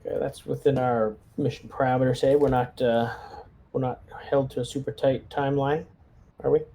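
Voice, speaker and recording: A man's voice: medium pitch, fairly even intonation, brisk, warm tone. A man taking part in a tabletop roleplaying game, talking through plans casually with some hesitation.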